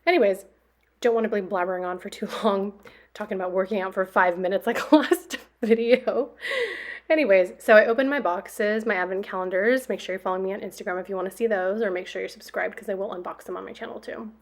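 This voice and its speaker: A young woman with a hoarse voice, chatting casually over her own planner video, rambling with lots of fillers and small laughs. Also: medium pitch, fast talker. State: extra hoarse after a workout. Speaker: planner vlogger.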